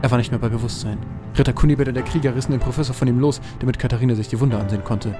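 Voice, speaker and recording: A man's voice: medium pitch, steady tone, racing. A man narrating a scripted fantasy audio drama as its storyteller. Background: music.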